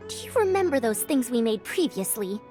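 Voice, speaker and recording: A woman's voice: on the high side, sing-song, brisk, mellow, faint music behind. A woman voicing a bossy, scheming senior scholar in an anime-style game, dramatic and conspiratorial.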